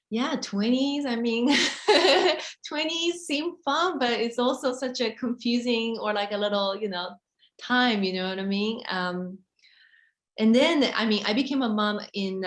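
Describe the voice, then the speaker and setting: Halting woman. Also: medium pitch. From Japan. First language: Japanese. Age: 30s. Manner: soft-spoken, halting, frequent fillers and restarts. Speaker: interviewee, model and author. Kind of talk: casual interview answers. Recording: roomy echo.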